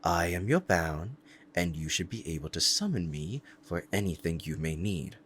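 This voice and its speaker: Proud demon lover, played by a man, deep-voiced, intense and deliberate, ASMR roleplay, faint background noise. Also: animated, fairly bright tone. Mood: possessive, commanding. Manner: intense, deliberate, dramatic pauses. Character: powerful possessive demon bound to a sorcerer, devoted, proud. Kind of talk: ASMR roleplay acting, dramatic monologue.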